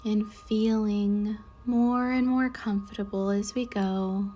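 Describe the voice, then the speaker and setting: A woman leading a guided meditation in a soothing, measured way, with soft breathy endings to her phrases. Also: medium pitch, steady tone, soft background music. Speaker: guided meditation leader, Reiki instructor. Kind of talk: slow guided meditation narration.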